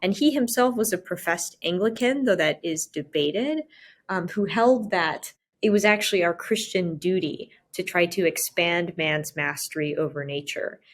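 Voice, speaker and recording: A woman with a fairly low voice speaks as a guest on a discussion podcast. She explains ideas step by step, sounding academic and articulate. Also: normal speed.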